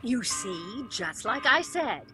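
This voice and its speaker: High, brash, slangy street gal. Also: female voice, big pitch swings, slow, music in the background. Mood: eager, insistent. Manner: slangy, uneducated wisecracking speech. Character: brash, slangy female street witness, eager and cheeky. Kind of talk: 1940s radio comedy-drama acting.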